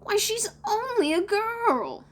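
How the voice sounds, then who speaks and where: A woman voicing an earnest, excitable little boy in a storybook, with emphatic, drawn-out childlike speech. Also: sits high, voice pushed up, exaggerated voice. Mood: aghast. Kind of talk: storybook read-aloud character voices.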